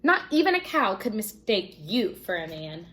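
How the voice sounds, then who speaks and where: A woman's voice: mid-range voice, very animated, moderate pace, a bit bright. Woman as a cross, snappish storybook stepmother. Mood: cross, dismissive. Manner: snappish, dismissive. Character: cross, scolding stepmother of a poor boy.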